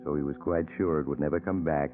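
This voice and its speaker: A mysterious, knowing male narrator of a 1940s radio thriller, with an extremely deep voice. Prominent music runs behind him on a tinny old recording. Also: steady tone, fast. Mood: ominous, measured.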